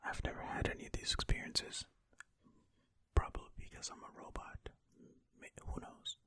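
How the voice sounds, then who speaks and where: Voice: moderate pace, sharp tone, dry recording. An ASMR host whispering ear to ear in a tentative, musing way, reading and commenting close to the microphone. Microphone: close stereo ASMR microphone.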